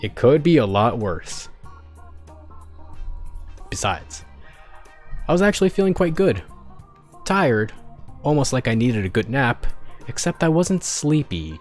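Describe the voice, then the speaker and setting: Male amateur story reader, medium pitch, expressive but halting delivery with self-corrections, background music underneath. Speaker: streamer reading fan fiction aloud. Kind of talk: expressive first-person story narration.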